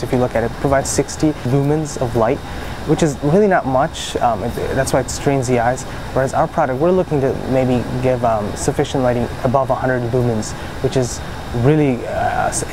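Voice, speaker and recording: Young man, middle pitch, eager, interview, noisy background. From Bangladesh. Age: young adult. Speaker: engineering student, start-up founder. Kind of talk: interview answers. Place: lab office, indoors.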